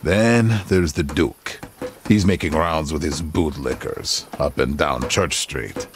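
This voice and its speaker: A man's voice: rumbling low, slow pace, slight background noise. An actor playing a cocky, easygoing Wild West outlaw in a video game, drawling and dropping Spanish words into his English. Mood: plotting. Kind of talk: video game cutscene acting.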